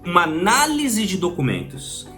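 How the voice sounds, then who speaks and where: A man's voice: sits high, huge pitch swings, moderate pace, pushed and bright, soft background music. A man with a Brazilian Portuguese accent giving an explanatory video lesson, conversational and often checking in with the listener. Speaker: citizenship process instructor.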